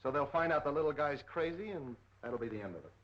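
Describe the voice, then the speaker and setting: A man's voice: on the high side, animated, fast, lightly acted. A man playing an impatient, skeptical police captain with blunt, slangy delivery in a 1930s film. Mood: dismissive. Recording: muffled 1930s film soundtrack.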